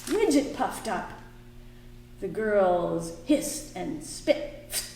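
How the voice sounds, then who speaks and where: A woman hosting a library storytime for children, singing little songs and reading a picture book aloud in a slow, expressive way. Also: medium pitch, gravelly, far from the mic, a little hiss or hum. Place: library room. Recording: echoey room, voice from afar.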